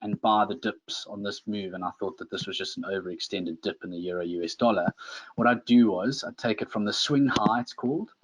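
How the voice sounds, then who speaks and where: Low-voiced South African man presenting. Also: a little fast, husky, low-quality recording. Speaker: webinar presenter, trading instructor. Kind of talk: informal live tutorial.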